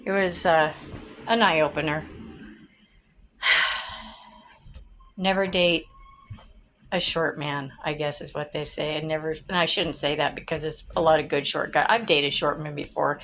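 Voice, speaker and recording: A middle-aged woman, slightly raspy this morning, chatting casually and rambling into her phone as she walks from outside into her home. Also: low voice, steady tone, unhurried, long pauses, a bit bright, slight background noise. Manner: rambling, easily distracted, laughs often. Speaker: vlogger. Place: outdoors then inside a home. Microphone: phone held by hand.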